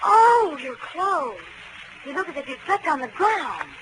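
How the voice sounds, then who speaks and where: An actress playing a perky, fussing, excitable secretary with a girlish manner in a 1940s radio drama. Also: sits high, unhurried. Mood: curious. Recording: noisy vintage 1940s radio recording.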